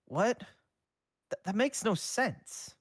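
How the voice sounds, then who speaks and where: A man's voice: sits high, lively intonation, takes it slowly, slightly rough, dry recording, clean studio sound, pitched above the performer's own voice, full character voice. A man voicing an anxious teenage half-ghost hero who talks in a fretful, exasperated way, in a fan storybook reading. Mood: incredulous.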